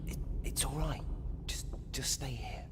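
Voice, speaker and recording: Solemn young wizard hero played by a man, medium pitch. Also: unhurried, heavy background noise, natural voice. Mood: hushed, tentative. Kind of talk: dramatic game cutscene acting. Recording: loud music over the voice.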